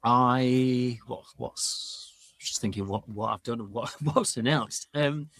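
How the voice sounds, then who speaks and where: A man talking casually as a podcast guest in a hesitant, halting way. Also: medium pitch, fairly bright tone, dry recording. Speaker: podcast guest, audio mixing engineer. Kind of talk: casual chat.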